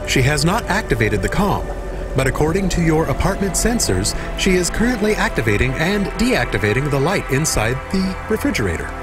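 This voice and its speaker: A man's voice: fairly high pitch, very animated, brisk, music in the background. A man voicing a naive, childlike AI companion in a video game, earnest, anxious and very literal. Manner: literal, innocent, simply phrased.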